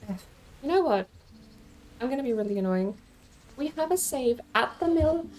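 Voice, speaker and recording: A woman's voice: fairly high pitch, a little mellow, rough recording. A woman giving casual livestream game commentary, rambling and thinking aloud as she plays. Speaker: game streamer.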